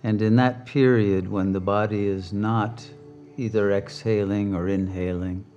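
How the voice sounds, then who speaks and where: A man leading a guided meditation as a teacher, speaking calmly and deliberately with long pauses. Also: fairly low pitch, lo-fi sound, soft background music.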